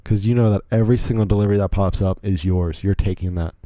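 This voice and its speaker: Low, mellow-voiced American man rambling. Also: fairly even intonation, fast talker, no echo, studio quality. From Virginia, United States. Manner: rambling, chatty, self-interrupting asides. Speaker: podcast host. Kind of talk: casual solo podcast storytelling.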